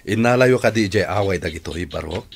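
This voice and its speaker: A man's voice: deep voice, fairly quick, a little hiss or hum, voice pushed down. A man playing a troubled older male boss in a radio drama. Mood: grateful.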